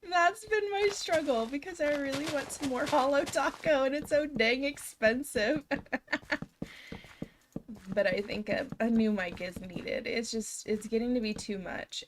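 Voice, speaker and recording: Slow-talking hoarse young woman streamer. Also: a little high. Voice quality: slightly scratchy, froggy. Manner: chatty, giggly, talks to chat. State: hoarse, recovering from illness. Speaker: game streamer. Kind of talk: casual live stream chat. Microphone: desk microphone.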